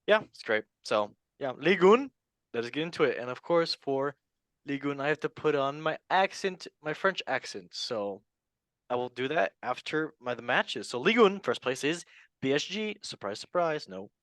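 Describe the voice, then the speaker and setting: A man's voice: on the high side, moderate pace, no echo. A man hosting a casual football podcast, joking about himself and reading out league tables. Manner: jokey, self-mocking, animated. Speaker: podcast host. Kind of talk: casual sports chat.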